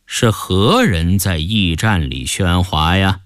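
A man voicing a haughty, suave Qing imperial commissioner in an audio story, speaking in a slow, deliberate, lordly way. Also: low voice, a bit bright, no echo, clean studio sound, voice pushed down. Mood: commanding.

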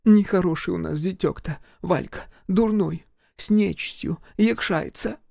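A man's voice: high voice, unhurried, vocal fry, darkish tone, no room sound, studio quality, voice pushed up, full character voice. A man voicing a fussy, superstitious middle-aged village mother-in-law who scolds and gossips. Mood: conspiratorial. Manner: scolding, conspiratorial.

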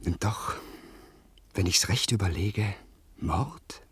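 A man's voice: sits low, takes it slowly, fairly bright tone, husky, no room sound, full character voice. A man playing an earnest, vain, lovestruck young English aristocrat with florid, theatrical delivery in a German radio play. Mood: resolved, musing.

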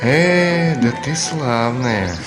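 Radio drama, urbane, ironic gentleman in middle age, medium register, prominent music underneath. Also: mid-range voice, animated, very slow. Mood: genial, teasing. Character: worldly, ironic middle-aged gentleman, sceptical yet genial. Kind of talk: theatrical Russian radio drama.

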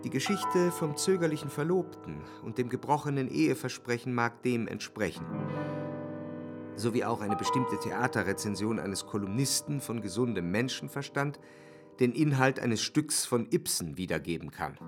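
An actor playing an indecisive, brooding young man, narrating a literary radio drama in a reflective, measured way. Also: medium pitch, expressive, lightly acted. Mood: wry, self-examining. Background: instrumental music.

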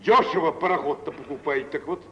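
An actor playing a wealthy middle-aged businessman in a 1940s Russian radio play, condescending and probing, speaking in a deliberate, insinuating way. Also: on the high side, sharp tone. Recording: dull, hissy old radio recording.

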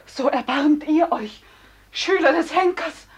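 High, slow, passionate young noblewoman. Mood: desperate scorn. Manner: theatrical, moving between breathless whispers and cries. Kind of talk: classical German radio play acting, declamatory.

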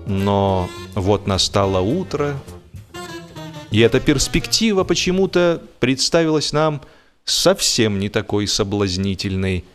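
A man narrating a humorous story as a scripted reading, theatrical in delivery, with drawn-out words and emphatic swings. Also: on the low side, sing-song. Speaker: audiobook narrator. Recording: music behind the voice. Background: music.

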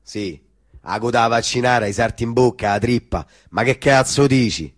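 A man's voice: medium pitch, normal speed, natural voice. A man playing a crude, teasing Roman tough in stage dialogue, speaking blunt dialect with a Roman accent. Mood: coarse, mocking. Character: crude, mocking, hungry Roman slaughterhouse tough guy.

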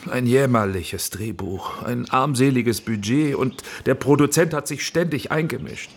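An actor playing a courtly, flattering film director in a radio drama, speaking theatrically with dramatic pauses. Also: male voice, medium pitch, slightly rough. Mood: charming, flattering. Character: Austrian male film director, courtly, flattering, persuasive visionary.

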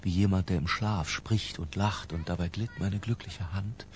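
An actor playing a scholarly, self-dramatizing man who narrates a radio drama in an ornate, deliberate, confessional manner. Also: low voice, slight background noise, lightly acted. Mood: brooding, intense. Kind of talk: literary first-person radio drama narration.

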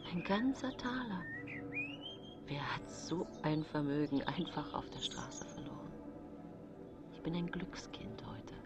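Proud, low-voiced destitute woman. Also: exaggerated voice. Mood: wondering. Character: proud, destitute woman of early 19th century. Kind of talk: German radio play acting.

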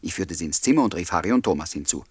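An actor playing a courteous, pensive flat-sharer who narrates his memories in a German radio play, polite and measured, with a husky voice. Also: deep voice, no echo. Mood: courteous, charmed.

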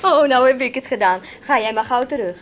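Woman playing a young posh miss in a radio play, highish voice, bossy n chatty, hissy old recording. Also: fairly quick, close to an everyday voice. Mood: friendly, chatty. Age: young adult. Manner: chatty, chiding. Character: well-off, brisk, bossy but kindly young lady.

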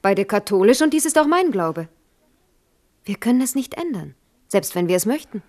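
An actress playing a nervous young princess in a 1970s radio play, talking in a hushed, anxious way. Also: female voice, mid-range voice, very animated, moderate pace, close to an everyday voice. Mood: earnest. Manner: hushed, anxious, drops to whispers.

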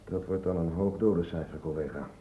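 Man playing a deep, dark-voiced grave colleague. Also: a little fast, reverberant, slight background noise, lightly acted, poor audio. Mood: grave concern. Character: grave male medical colleague. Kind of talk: radio drama acting.

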